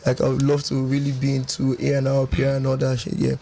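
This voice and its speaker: A man's voice: medium pitch, monotone, fast, creaky, mellow, slight background noise. Upbeat young man, laughing guest. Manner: boastful, upbeat, laughs often. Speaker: reality show ex-contestant guest. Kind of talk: casual radio interview. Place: radio studio.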